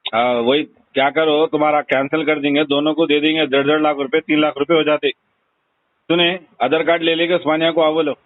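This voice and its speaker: Man, Hyderabadi accent, slightly high pitch, blunt, insistent, casual talk, thin phone-line recording. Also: steady tone, fairly quick, no echo. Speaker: phone call recipient. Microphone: telephone. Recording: tinny narrowband phone call recording.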